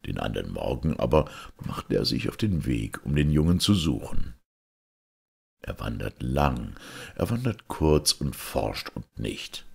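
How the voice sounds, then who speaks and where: A man reading a fairy tale aloud as scripted narration, with expressive storytelling delivery. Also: extremely low pitch, gravelly, slightly rough. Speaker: audiobook reader.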